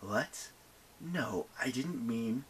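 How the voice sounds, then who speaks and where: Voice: mid-range voice, expressive, extremely slow, husky, exaggerated voice, low-quality recording. A narrator voicing a huge, ancient night goddess, menacing and imperious, speaking in a commanding, formal way for an audiobook story. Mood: taken aback, demanding.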